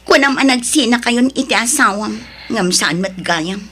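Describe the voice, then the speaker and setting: A woman's voice: a little high, lively intonation, normal speed, bright, forceful tone, slight background noise. Woman playing a nervous young mistress. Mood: worried. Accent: Ilocano. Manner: startled, defensive questioning. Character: young mistress of a rich married man, anxious. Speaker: voice actor in an audio drama. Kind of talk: Ilocano audio drama acting.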